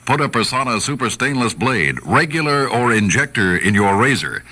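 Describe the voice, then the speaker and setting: A man's voice: mid-range voice, very animated, normal speed, pushed and bright. A man reading a 1960s radio commercial as an announcer, with a polished, persuasive sales delivery. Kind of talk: 1960s radio advertising read.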